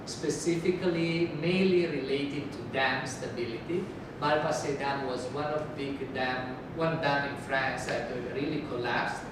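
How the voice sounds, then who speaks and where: Slow-speaking man with an Italian accent. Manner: deliberately slow, careful. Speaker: professor. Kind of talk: university lecture. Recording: echoey hall from far off, rumble.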